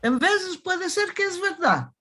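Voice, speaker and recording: Woman in her 70s, salonica ladino accent, talks slow and firm, keeps restarting. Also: extremely high pitch, sing-song, sharp tone. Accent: Thessaloniki Judeo-Spanish. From Thessaloniki, Greece. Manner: emphatic, insistent, with halting restarts. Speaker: guest historian. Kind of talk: conversational interview.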